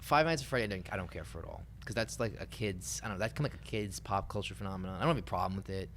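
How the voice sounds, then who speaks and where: A man's voice: sits low, lively intonation, rapid-fire, a bit bright, slight background noise. A young American man chatting casually as a podcast co-host. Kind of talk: casual chat about video games and pop culture.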